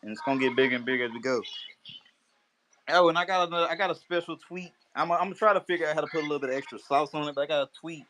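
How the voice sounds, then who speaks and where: A man's voice: a little high, rapid-fire, fairly bright tone, a little hiss or hum. A man hosting a casual live audio chat, laid-back and joking, laughing often. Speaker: host.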